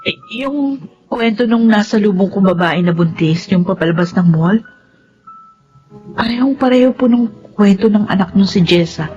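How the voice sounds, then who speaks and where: A woman playing a polite but outspoken young woman, earnest and expressive, with a Filipino accent, in a radio drama. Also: medium pitch, normal speed, lo-fi sound, faint music behind, close to an everyday voice. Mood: polite concern. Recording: noisy ambience behind.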